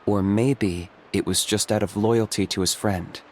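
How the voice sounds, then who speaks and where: A man voicing a stoic young warrior in a video game, calm and deadpan, always blunt and practical. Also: on the low side, a little slow, a little hiss or hum, lightly acted.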